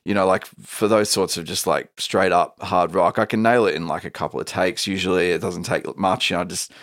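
A man's voice: deep voice, fairly even intonation, brisk, a bit bright, no echo, clean studio sound. A man with a big, thick voice, talking casually and emphatically as a musician being interviewed. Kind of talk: casual chat.

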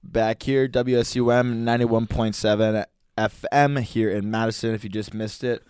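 A man's voice: lowish voice, steady tone, normal speed, darkish tone, smooth, no echo. A young man co-hosting a sports radio show in a casual, conversational style at a studio microphone.

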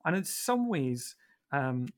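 A man in a reflective Bible discussion, speaking in a measured, deliberate way with pauses. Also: fairly high pitch, big pitch swings. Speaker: podcast panellist.

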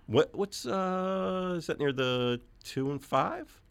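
New radio host guy, kinda gravelly, voice bounces around a lot, dry studio sound. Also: sits high, lively intonation, slow pace, dry recording. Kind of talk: casual radio chat. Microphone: studio microphone.

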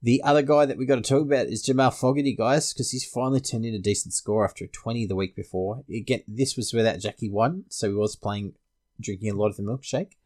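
A man's voice: medium pitch, fast talker, a little mellow. An Australian man hosting a sports podcast, casually discussing fantasy rugby league.

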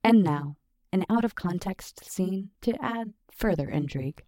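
A woman's voice: on the low side, animated, a little slow, mellow, no room sound, studio quality. Woman announcer over guitar music. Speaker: announcer reading credits. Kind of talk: scripted narration.

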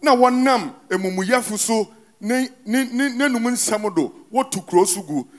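Male interpreter, high voice, tracks the preacher phrase by phrase in church. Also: animated, unhurried. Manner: follows the preacher line by line. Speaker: sermon interpreter. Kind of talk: interpreting a sermon.

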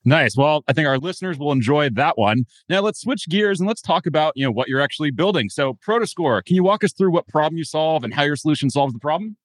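A man hosting a podcast, reading a brisk scripted intro and then chatting in an upbeat way with his guest. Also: on the high side, expressive, dry recording. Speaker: podcast host.

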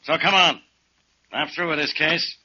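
A man's voice: fairly high pitch, measured pace, very noisy, close to an everyday voice. An actor playing a stern, blunt tough man with a commanding manner in a 1940s radio crime drama. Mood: stern, triumphant. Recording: muffled 1940s radio recording.

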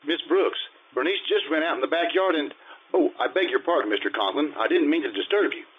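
A man's voice: a little high, expressive, a little fast, slightly rough. A man playing a polite, apologetic teacher in old-time radio comedy style, mild and deferential. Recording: tinny, phone-like radio recording.

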